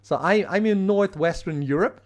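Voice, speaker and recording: A man from the Netherlands with a Dutch accent, hosting a live astrophotography stream, explaining in a casual, halting way with many fillers and restarts and answering viewer chat. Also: high-pitched. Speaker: astrophotography livestream host.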